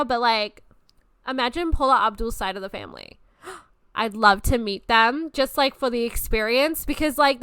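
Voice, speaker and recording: A woman's voice: a little high, bright, forceful tone, smooth, no echo, clean studio sound. A woman in her twenties hosting a podcast, talking in a casual, rambling and gushing way, swearing often. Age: 20s. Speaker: podcast host. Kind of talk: casual monologue.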